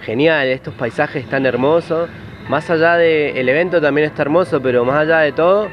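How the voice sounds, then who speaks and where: A man's voice: a little high, moderate pace, background noise. A man in his twenties from Peru, talking casually in an outdoor interview into a handheld microphone. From Lima, Peru. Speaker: tattoo artist being interviewed. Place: outdoor patio. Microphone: handheld reporter microphone. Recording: dull sound with music behind. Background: music.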